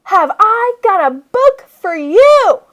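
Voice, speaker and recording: A woman voicing an enthusiastic schoolteacher who is fond of her pupils and speaks in emphatic, deliberate lists. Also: extremely high pitch, big pitch swings, fairly bright tone, voice pushed up, exaggerated voice. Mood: knowing, excited.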